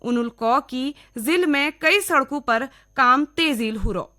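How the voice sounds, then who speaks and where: Woman newsreader, Kumaoni accent, bright crisp-edged tone, raised pitch, scripted radio bulletin. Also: lively intonation, a little slow. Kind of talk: scripted regional radio news reading.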